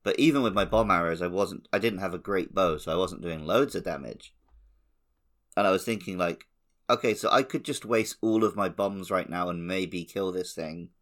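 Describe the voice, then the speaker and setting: A man's voice: low-pitched, normal speed, a bit bright. A man co-hosting a podcast, talking casually about a video game. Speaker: podcast host.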